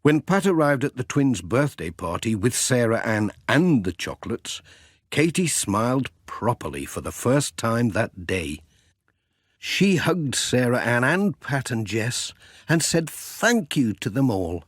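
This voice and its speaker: Animated male children's story narrator. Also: medium pitch, slightly rough, no room sound, studio quality. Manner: measured, expressive storytelling. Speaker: audiobook narrator.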